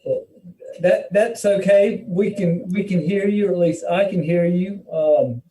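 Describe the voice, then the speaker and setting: A man chairing a public meeting informally, speaking in a polite, halting way. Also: high-pitched, mellow, slight background noise. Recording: muffled, distant from the mic.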